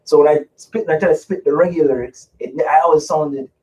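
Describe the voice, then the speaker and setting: A man from Canada speaks in a somewhat high voice, relaxed and rambling, often restarting and chuckling. He sits a bit back from the mic of a radio talk stream, so he sounds distant. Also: fairly quick, muffled recording, a little hiss or hum. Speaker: guest, hip hop artist and author. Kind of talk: casual radio interview.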